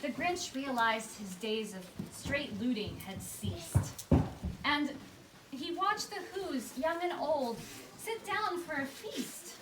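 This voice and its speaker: A woman's voice: high voice, expressive, slow, heavy background noise. A woman hosting a live puppet show, speaking informally to an audience and narrating. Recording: echoey live room, distant mic, loud music and crowd.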